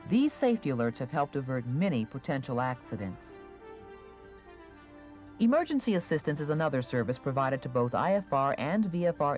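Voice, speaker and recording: A narrator reading a scripted instructional video in a measured, clearly enunciated manner. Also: medium pitch, a little mellow.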